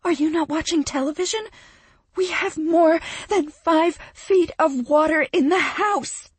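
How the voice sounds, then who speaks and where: Woman voicing a scared young woman, high and whispery, voice keeps breaking, dry close sound. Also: flat delivery, a little slow, darkish tone, husky, no echo. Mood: panicked. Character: frightened young woman trapped by floodwater.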